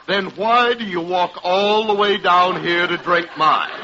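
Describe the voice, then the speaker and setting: An actor playing a stingy, folksy shopkeeper in a vintage radio comedy, needling and wisecracking with obtuse deadpan logic. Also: male voice, high voice, a little slow. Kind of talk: vintage radio sitcom acting. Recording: noisy, muffled vintage radio recording.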